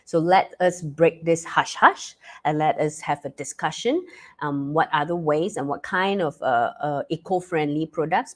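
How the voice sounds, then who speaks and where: A young woman chats through a live talk stream, her low voice hoarse and stuffy as she fights a sore throat. She talks loosely, full of fillers and restarts. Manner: chatty, many fillers and restarts. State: stuffy nose. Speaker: live talk show host.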